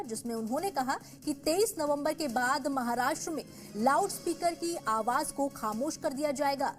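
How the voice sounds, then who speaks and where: An Indian woman presenting scripted news as an anchor. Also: sits high.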